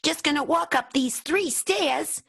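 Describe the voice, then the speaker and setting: A woman's voice: high voice, unhurried, vocal fry, a bit bright, heavily acted. A woman playing a naive, scheming apprentice werewolf with rustic, folksy speech in an improvised radio play. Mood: chipper.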